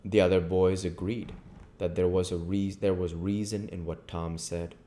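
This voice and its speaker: A man's voice: deep voice, little pitch movement, moderate pace, dark tone, slight background noise. A man reading classic fiction aloud as a narrator. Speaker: audiobook reader. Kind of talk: scripted literary narration.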